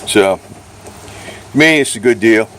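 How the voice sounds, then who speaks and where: A man's voice: on the low side, lively intonation, unhurried, a bit bright, a little hiss or hum, low-quality recording. A man chatting casually outdoors in a blunt, folksy, meandering way, as if showing his gear on a handheld camera. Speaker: amateur vlogger showing his radio gear. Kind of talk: casual rambling chat. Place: outdoors by a vehicle.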